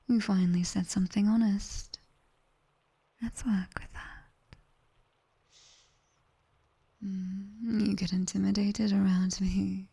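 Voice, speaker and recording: A woman's voice: mid-range voice, heavily acted. Woman as a whispering British nightmare demoness tutor. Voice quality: breathy, soft whisper. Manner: slow, deliberate, teasing, dryly stern. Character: sharp-tongued nightmare demoness tutor, teasing and commanding. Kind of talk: whispered ASMR roleplay. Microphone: close binaural microphone.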